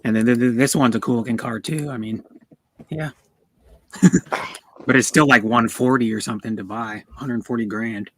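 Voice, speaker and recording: A man's voice: mid-range voice, steady tone, normal speed, pauses often, fairly bright tone. A man chatting casually about cars on a livestream in a rambling, stammering way, often repeating words. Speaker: streamer. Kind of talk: casual car chat on a livestream.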